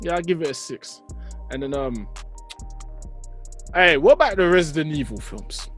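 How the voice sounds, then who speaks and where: Male co-host, notably high pitch, informal slangy banter with repeated phrases. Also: lively intonation, moderate pace, a bit bright, faint music behind. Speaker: podcast co-host. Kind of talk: casual chat among friends.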